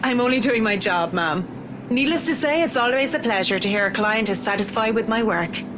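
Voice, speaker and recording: A woman's voice: fairly high pitch, lively intonation, a little fast, natural voice. Woman acting formal, modest decorator, noisy background. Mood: polite, professional. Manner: formal, deferential. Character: polite, modest, businesslike female interior decorator. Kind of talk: visual novel voice acting. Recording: noisy ambience behind the voice.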